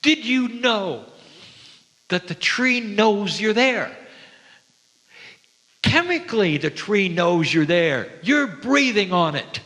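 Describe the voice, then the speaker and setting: A man speaks as a spiritual teacher in a large hall, with long reverb trailing his words. His voice sits a little high for a man. He takes his time, gentle and reverent, leaving dramatic pauses between phrases. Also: very animated. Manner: slow, deliberate, with dramatic pauses. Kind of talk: spiritual sermon to an audience.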